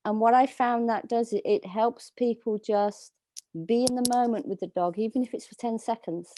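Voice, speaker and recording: A woman dog trainer giving slow, soothing instructions over a video call, with a calming voice. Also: fairly high pitch, slight background noise. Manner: soft, slow, soothing coaching. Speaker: dog trainer leading a workshop.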